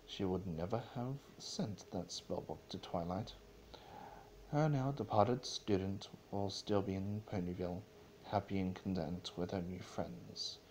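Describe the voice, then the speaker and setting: Male narrator, lowish pitch, solemn, halting, dramatic fiction reading, faintly noisy amateur recording. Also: a little mellow. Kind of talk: dramatic reading of a fan story.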